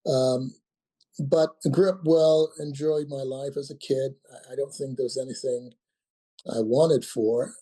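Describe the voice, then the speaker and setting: A man of about sixty, from Nigeria, answering as a guest in a relaxed interview. His voice is husky and mellow. He hesitates a lot, stopping and restarting his phrases. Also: medium pitch, a little slow, low-quality recording. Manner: hesitant, many ums and restarts. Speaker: guest, company founder. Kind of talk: casual interview talk.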